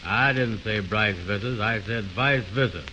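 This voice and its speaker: Low-voiced pompous know-it-all, old radio comedy. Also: male voice, a little slow, bright, forceful tone. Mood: exasperated. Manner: pompous, malapropisms, folksy ungrammatical talk. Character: blustering, know-it-all, muddle-headed man, Andy. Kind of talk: 1920s radio comedy acting. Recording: dull, hissy old radio recording.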